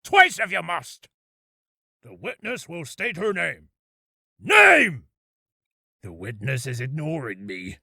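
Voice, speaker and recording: A man's voice: fairly high pitch, very animated, slow, gravelly, long pauses, sharp tone, slightly rough, no echo, studio quality, heavily acted. A man voicing a blustering, easily flustered judge with a pompous, exclamatory manner, as game acting. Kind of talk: character voice reel, game acting.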